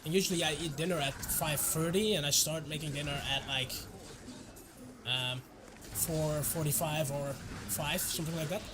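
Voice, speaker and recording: A Dutch man, a native Dutch speaker, chatting casually in English with his chat while streaming a game, relaxed and often chuckling. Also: fairly high pitch, normal speed, background noise. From Netherlands.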